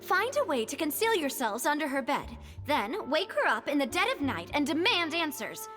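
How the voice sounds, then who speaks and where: A woman playing a young female gang boss in a game, teasing and sly, pausing before odd suggestions. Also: sits high, sing-song, over music. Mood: playful.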